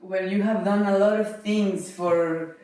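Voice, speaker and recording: A woman with a medium-pitched voice speaks as a polite interviewer. She sounds distant from the microphone, and the room around her rings with heavy echo. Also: fairly even intonation, a little slow, dark tone, slight background noise. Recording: very echoey room, far from the mic.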